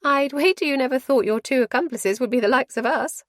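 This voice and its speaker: A woman voicing a cheerful, bubbly young woman with a bright, giggly manner. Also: sits high, a little fast, no room sound, clean studio sound, pitched above the performer's own voice, lightly acted.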